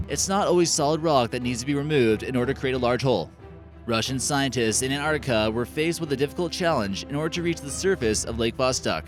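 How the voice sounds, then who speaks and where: A man narrating a scripted countdown list with casual, chatty asides. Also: medium pitch. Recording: voice-over with loud background music.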